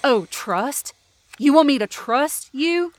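A woman's voice: sits high, lively intonation, takes it slowly, a little hiss or hum. A young actress playing a bitter, foul-mouthed working-class woman from the mountains in an audio drama, confrontational and sarcastic. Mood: bitter, accusing. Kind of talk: heated audio drama dialogue.

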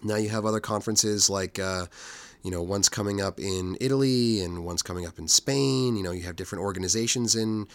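A man in his forties, hoarse from a cold, hosting a podcast in relaxed, rambling talk full of fillers. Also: on the low side, fairly quick, dry recording. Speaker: podcast host.

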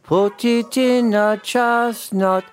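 Man putting on high, sing-song merry little mice. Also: fairly even intonation, drawn-out words, soft background music, exaggerated voice. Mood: merry, teasing. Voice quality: small shrill twittering voice. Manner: sing-song chanting of nursery rhymes. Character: little singing mice, merry, rude and teasing.

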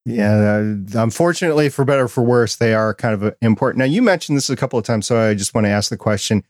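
A man's voice: mid-range voice, quick pace, dry recording, studio quality. A man hosting a podcast interview in a casual, conversational way, phrasing his thoughts with deliberation. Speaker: podcast host.

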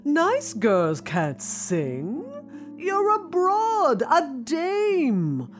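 A woman's voice: high-pitched, wildly swooping pitch, drawn-out words, faint music behind, pitched above the performer's own voice, heavily acted. A woman playing an elegant, exuberant older singing teacher in an audio drama, theatrical and commanding. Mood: passionate, encouraging. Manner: theatrical, commanding, calls people darling. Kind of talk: audio drama character acting.

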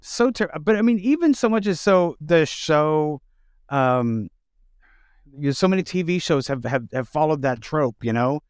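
A man hosting a casual interview, speaking haltingly and repeating words as he forms his thoughts. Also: fairly high pitch, animated, smooth, no echo, clean studio sound. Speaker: interviewer, host.

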